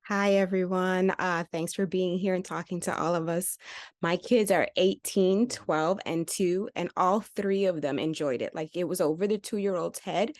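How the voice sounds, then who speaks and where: Middle aged lady doing a press q&a, voice a bit low, really dry sound. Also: fairly even intonation, moderate pace, darkish tone, dry recording. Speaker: interviewer at a press event. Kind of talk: informal interview question.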